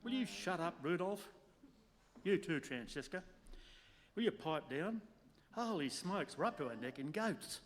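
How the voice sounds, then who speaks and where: A man's voice: fairly high pitch, expressive, measured pace, pauses often. A man playing a whiny, exasperated newspaper photographer and sidekick in a radio play, complaining and stammering over repeats. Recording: echoey hall, clear background noise.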